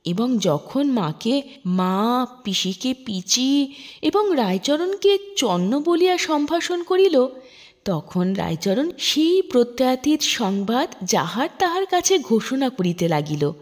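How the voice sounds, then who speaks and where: A woman narrating a literary story in a measured, expressive, formal manner. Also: high-pitched. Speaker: storyteller reading a literary short story aloud. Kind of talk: scripted narration, expressive audiobook reading.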